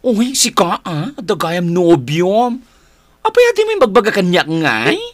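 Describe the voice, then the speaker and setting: A woman's voice: fairly low pitch, very animated, moderate pace, dry recording, clean studio sound. A woman with an Ilocano accent acting a playful, giggly young woman in a radio drama. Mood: surprised, bubbly. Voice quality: giggly, exclaiming.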